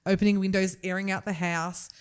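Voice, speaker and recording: A woman's voice: mid-range voice, flat delivery, fairly quick, smooth. A middle-aged woman co-hosting a podcast, chatting and venting with humour and frequent laughs. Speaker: podcast co-host. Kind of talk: casual chat.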